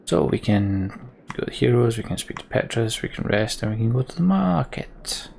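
A man's voice: mid-range voice, animated, moderate pace, gravelly, a little mellow, slight background noise. A man hosting a let's play, reading game text aloud and commenting casually with dry asides.

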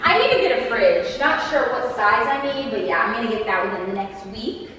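A woman's voice: a little high, lively intonation, brisk, a bit bright, recorded from a distance, slight background noise. Bubbly young woman in an echoing empty apartment. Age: 20s. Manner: bubbly, chatty, playful. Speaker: vlogger. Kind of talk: casual apartment tour vlog. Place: empty apartment with bare walls, indoors. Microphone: camera mic, handheld and at a distance. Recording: echoey empty rooms, camera held away.

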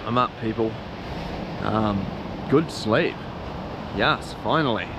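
A man's voice: on the low side, slow pace. A man in his thirties with a New Zealand accent, chatting casually and upbeat to a handheld camera at a beachside campsite. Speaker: vlogger. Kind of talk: casual travel vlog chat. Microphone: handheld action camera close up. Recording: outdoor background noise.

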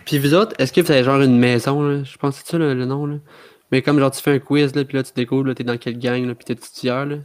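A man's voice: mid-range voice, steady tone, fast, darkish tone, clear, resonant tone. A young man with a Quebec French accent chatting casually on a group video call. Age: young adult. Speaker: podcast panelist. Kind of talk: casual chat.

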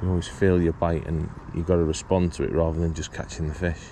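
A low-pitched British man talks casually outdoors, musing aloud like an angler presenting his own fishing video. His speech is colloquial and full of questions, picked up on an action camera with clear ambient and traffic noise around him. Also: little pitch movement, fast, dark tone. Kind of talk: casual chat to viewers while fishing. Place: outdoors beside a small pond. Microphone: body-worn action camera.

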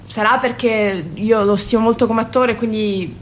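A woman's voice: on the high side, fairly even intonation, normal speed, a little hiss or hum. A woman from Sicily, an actress, speaking reflectively as a guest in a radio interview. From Sicily, Italy.

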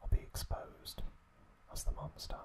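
A man whispering breathily and haltingly with long pauses, close to the microphone, as an ASMR host recapping a story.

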